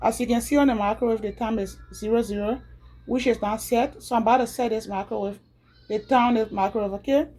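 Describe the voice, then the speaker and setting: A woman's voice: fairly high pitch, steady tone, mellow, poor audio. A woman from Liberia with a Liberian accent, chatting casually as she repeats each step of a home tutorial. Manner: chatty, repeats steps slowly. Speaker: home video creator.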